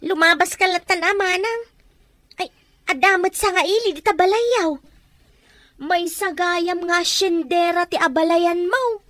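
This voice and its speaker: An actress playing a nosy, excitable woman who gossips and needles in an Ilocano radio comedy drama. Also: very high voice, a little slow, no room sound, clean studio sound. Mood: chatty, pushy.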